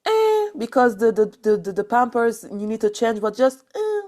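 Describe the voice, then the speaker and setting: A woman from Nigeria hosting a podcast in casual chat, animated, laughing often and restarting her sentences. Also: on the high side, moderate pace. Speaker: podcast host.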